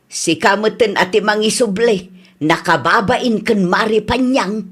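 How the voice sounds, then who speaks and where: A mid-pitched older Ilocano mother, played by a woman, scolding and reproachful. She admonishes in a motherly way, as in a radio comedy drama. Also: unhurried, fairly bright tone, slightly rough. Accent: Ilocano Filipino.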